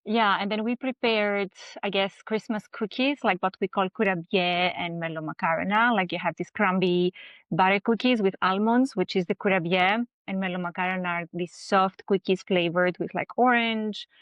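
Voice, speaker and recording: A woman explains holiday customs in an easy, chatty way. Her voice is smooth and mid-pitched, recorded close and dry. The line sounds dull and lo-fi. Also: steady tone, normal speed, no room sound. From Greece. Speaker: guest. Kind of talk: casual storytelling chat.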